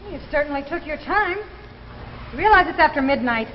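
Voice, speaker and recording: An actress playing a scornful, scolding, suspicious wife in 1950s drama style. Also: female voice, high voice, lively intonation, brisk. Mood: scolding, irritated. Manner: scolding, incredulous. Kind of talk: 1950s television drama acting. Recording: muffled, echoey old broadcast recording, faint noise.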